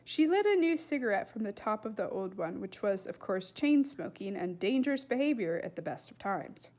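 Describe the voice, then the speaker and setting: A woman reading a storybook aloud as narrator in an expressive style. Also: medium pitch, moderate pace. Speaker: storybook reader.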